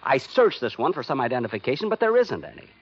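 Flustered, stubborn husband, swooping old radio delivery. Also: male voice, medium pitch, sing-song, quick pace, slightly rough. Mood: anxious explaining. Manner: stammering when flustered. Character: flustered, stubborn married man who found money. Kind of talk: 1950s radio drama acting. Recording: muffled 1950s radio recording with music.

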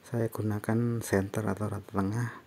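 A man presenting an unscripted step-by-step software tutorial in a halting, instructional manner, often adding little confirming tags. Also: fairly low pitch, flat delivery, creaky. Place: voice-over on a screen recording. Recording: faint background noise.

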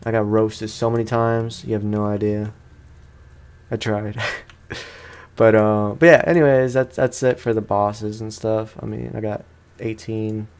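Low-voiced man chatting through a game. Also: level tone, measured pace, a little mellow, clear, resonant tone. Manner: rambling, slangy, conversational. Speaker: gaming streamer. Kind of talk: casual let's play commentary.

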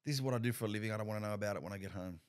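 Halting man hosting a podcast. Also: fairly low pitch, a little mellow. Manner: halting, repeats words while thinking. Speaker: podcast host. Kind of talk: casual interview chat. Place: bar-like studio room, indoors. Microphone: desk mic on a stand.

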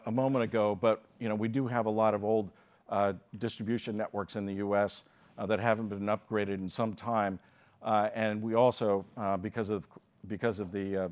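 An older American man speaking at a lectern microphone on a conference stage, giving a keynote with measured delivery and frequent filler pauses. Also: lowish voice. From United States.

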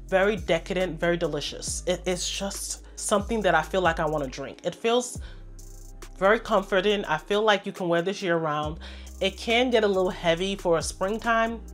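Chatty woman with a head cold. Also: mid-range voice. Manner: chatty, enthusiastic, addresses viewers as "you guys". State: congested and a little hoarse from a cold. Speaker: fragrance reviewer. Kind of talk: casual product review to camera.

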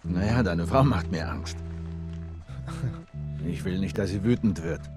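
Game dub role: terse, gruff middle-aged survivor, lowish pitch, music underneath. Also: male voice, unhurried. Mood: wry, fond. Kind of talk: dubbed video game acting.

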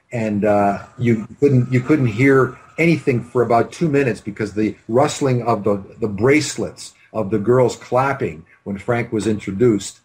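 A man's voice: lowish voice, darkish tone, rough recording. A man, a film director reminiscing in an interview in a hesitant, rambling way over a video call. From Canada. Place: home room with bookshelves. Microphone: computer mic on video call.

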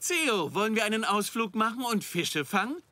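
A woman's voice: medium pitch, sing-song, moderate pace, noisy background, close to an everyday voice. A woman voicing an easygoing, fun-loving zebra lady in a children's cartoon, speaking expressively.

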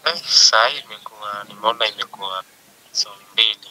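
A man's voice: lowish voice, a little fast, bright, forceful tone. A young man with a Kenyan accent, chatting casually as a guest over a phone call. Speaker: upcoming musician, phone guest.

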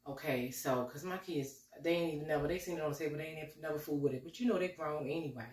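A woman's voice: low voice, rapid-fire, darkish tone. A woman in her forties with a slight cold, making a casual, chatty cooking vlog in her home kitchen and calling her viewers y'all. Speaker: cooking vlogger. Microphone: camera a few steps away. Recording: roomy kitchen echo, mic at a distance.

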